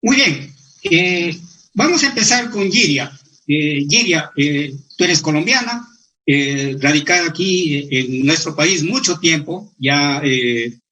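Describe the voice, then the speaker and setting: A man's voice: fairly high pitch, bright, forceful tone, poor audio. A man hosting a talk show, introducing guests with many hesitant fillers. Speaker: TV host.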